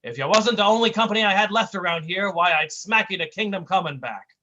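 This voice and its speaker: A bullying, short-tempered comedy tough guy, voiced by a man, snapping orders in old-timey street slang. His delivery is lively, and his voice sounds bright and sharp. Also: sits high, quick pace, muffled recording, pitched above the performer's own voice. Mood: gruff, snappy. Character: gruff bullying male slapstick partner.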